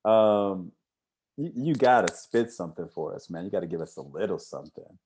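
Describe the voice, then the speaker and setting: A man's voice: low voice, lively intonation, normal speed, a little mellow, slight background noise. An American man hosting an interview in a casual, chatty style. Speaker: interview host. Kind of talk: casual chat.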